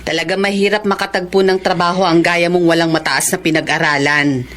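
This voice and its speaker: A woman playing a sharp-tongued, nagging wife in a Filipino radio drama, speaking in a challenging manner. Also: lowish voice, steady tone, fairly quick, slight background noise, natural voice.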